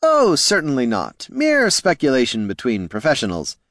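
A man's voice: medium pitch, huge pitch swings, moderate pace, a bit bright, no echo, studio quality, voice pushed up. A man voicing a sly, smug male gnome who talks with oily, mock-polite courtesy.

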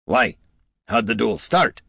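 A man's voice: mid-range voice, animated, takes it slowly, vocal fry, sharp tone, no echo, clean studio sound, pitched above the performer's own voice, heavily acted. Man voicing blunt, exasperated veteran adventurer. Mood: bemused. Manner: blunt, forthright.

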